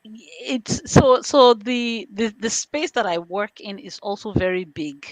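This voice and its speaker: A woman's voice: medium pitch, slow, dry recording, low-quality recording. A woman with a Nigerian accent answering as an interview guest, explaining things conversationally in simple terms. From born in London, grew up in Nigeria. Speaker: interview guest, information governance specialist.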